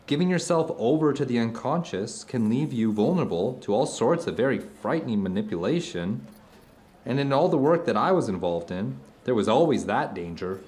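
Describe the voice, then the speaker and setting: A man's voice: medium pitch, darkish tone, close to an everyday voice. A man playing a thoughtful, philosophical friend in an audio drama, speaking in an articulate, searching, discursive way. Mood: reflective, earnest. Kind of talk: conversational audio drama acting.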